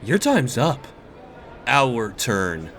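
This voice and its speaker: Man playing a slow-drawling teenage bully. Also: mid-range voice, huge pitch swings, fairly bright tone, a little hiss or hum, heavily acted. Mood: taunting. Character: mocking teenage bully classmate. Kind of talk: acted comic dub dialogue.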